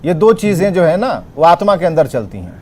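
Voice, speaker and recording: A man's voice: high-pitched, sing-song, fairly quick, a little mellow. A man hosting a TV debate, insistent and challenging, often repeating his questions. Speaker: debate show host. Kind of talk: combative TV debate moderation.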